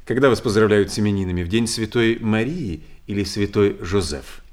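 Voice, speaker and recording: An actor playing a terse, brooding travelling circus animal tamer in a theatrical radio play. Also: male voice, fairly low pitch, a little fast, natural voice. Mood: curious, musing.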